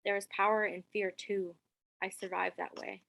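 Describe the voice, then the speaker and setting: A woman reading her poetry aloud on a video call in a measured, deliberate way. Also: mid-range voice, level tone, vocal fry, fairly bright tone, rough recording. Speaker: poet.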